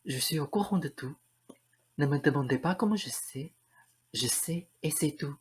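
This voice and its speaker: A man's voice: a little high, moderate pace, a little mellow, slightly rough. A man playing an earnest, lovestruck young prince in a French radio play, speaking in solemn declarations. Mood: earnest, smitten.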